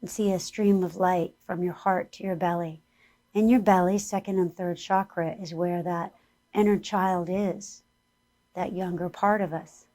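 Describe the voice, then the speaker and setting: A woman with a hoarse voice leading a gentle, earnest guided spiritual exercise as a spiritual teacher. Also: on the low side, fairly even intonation. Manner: slow, gentle and soothing guidance; earnest, speaks about Spirit and angels.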